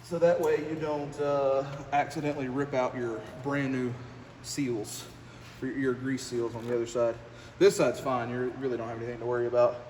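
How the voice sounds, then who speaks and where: A man's voice: medium pitch, level tone, normal speed, a little mellow. An American man giving a casual, rambling hands-on repair vlog, muttering in a folksy, self-deprecating way as he works. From United States. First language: English. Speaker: trucker and vlogger. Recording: echoey, distant mic, clear workshop noise.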